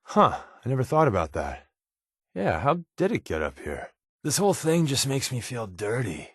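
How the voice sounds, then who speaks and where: An actor voicing a sly, wisecracking spy sidekick in a video game, with an American accent and a casual, sardonic way of talking. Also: male voice, on the low side, no room sound, clean studio sound. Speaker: video game companion character. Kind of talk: video game voice lines, conversational banter.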